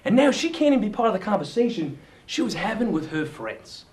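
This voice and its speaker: A man's voice: high voice, sing-song, fairly quick, heavy background noise. A man narrating a short video in a wry, mock-serious documentary style.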